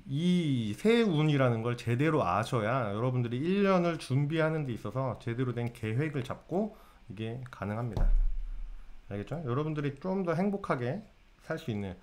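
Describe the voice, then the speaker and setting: A man's voice: mid-range voice, animated, moderate pace, a little mellow. A man giving a casual lecture to viewers on fortune-telling. He keeps asking his audience questions and answering them himself.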